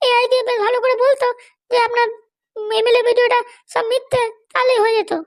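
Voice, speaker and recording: Squeaky, fast-scolding cartoon wife. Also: female voice, level tone. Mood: fretful, complaining. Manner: scolding, threatening. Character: hot-tempered, foul-mouthed wife running for election. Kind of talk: amateur comedy cartoon dialogue.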